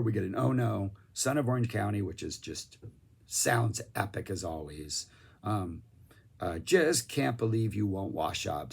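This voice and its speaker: Hoarse gravelly man reviewing music. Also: deep voice. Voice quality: gravelly, raspy. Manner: rambling, enthusiastic, lots of fillers. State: losing his voice, hoarse. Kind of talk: casual review monologue.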